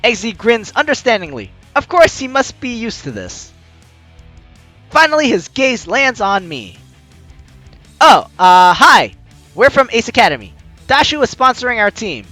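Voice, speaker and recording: A man playing a visual novel, reading the text aloud with excitable, joking reactions and the odd stumble, over music. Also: very high voice, a little slow, long pauses, no echo. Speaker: let's player. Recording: music behind the voice.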